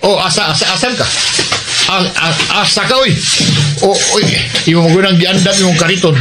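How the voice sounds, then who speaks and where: Lalaking gumaganap na mataas-boses na boss ng barkada. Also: male voice, sits high, steady tone, a little fast, close to an everyday voice. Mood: blustering. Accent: Cebuano. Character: gruff male street-gang boss softened by pity for children. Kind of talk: Cebuano radio drama acting. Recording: radio drama with music underneath.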